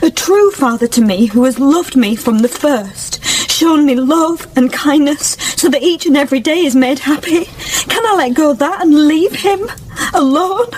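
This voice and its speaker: Woman as devoted young working girl, radio play. High voice, plain-spoken, heartfelt, faint noise behind. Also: moderate pace, few pauses, darkish tone, natural voice. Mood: tearful, heartfelt. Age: young adult. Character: devoted young working-class daughter, humble, steadfast, loving. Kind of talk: radio drama acting.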